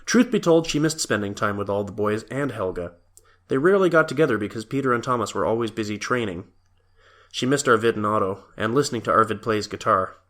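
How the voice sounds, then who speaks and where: Casting: male narrator, low voice, scripted storytelling for an audio drama. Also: lively intonation, fast, a bit bright.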